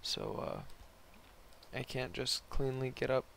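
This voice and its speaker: A young man with a hoarse, worn voice giving casual, rambling, slangy commentary on an online game battle. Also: mid-range voice, wildly swooping pitch, slow, a bit bright, slight background noise. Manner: rambling, slangy, laid-back. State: hoarse, voice partly lost from concert the night before.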